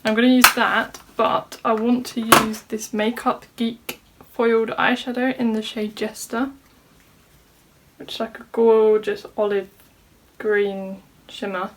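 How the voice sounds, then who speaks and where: A woman's voice: on the high side, little pitch movement, slow pace, noisy background. A woman vlogger walking through a makeup tutorial in a casual chat, sounding slightly nasal from a cold. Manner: chatty, talks through each step. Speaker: beauty vlogger. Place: home room at a desk.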